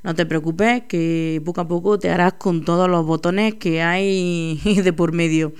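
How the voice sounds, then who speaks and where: Radio host lady, slow chatty talk, laughs at herself. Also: lowish voice, steady tone, darkish tone, smooth. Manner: chatty, laughing, self-deprecating. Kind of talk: casual local radio chat.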